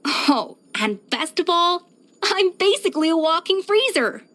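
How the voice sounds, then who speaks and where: An actress voicing a proud, exacting young pastry chef in a video game, poised, self-assured and precise in manner. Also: female voice, extremely high pitch, sing-song, a little slow, a bit bright, full character voice. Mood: poised, confident. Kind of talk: scripted video game character voice lines.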